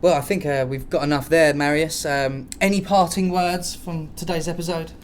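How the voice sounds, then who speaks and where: A man hosting a casual podcast, telling stories in a slangy, sweary, animated way. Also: a little high, moderate pace, a little hiss or hum. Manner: animated storyteller, sweary, slangy, mimics noises and dialogue. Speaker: podcast host.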